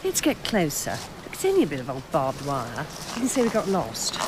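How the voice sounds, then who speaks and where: An actress playing a brusque, sarcastic feminist documentary film-maker in a naturalistic radio drama. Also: female voice, deep voice, huge pitch swings, normal speed, background noise. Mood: bossy. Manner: sarcastic, bossy.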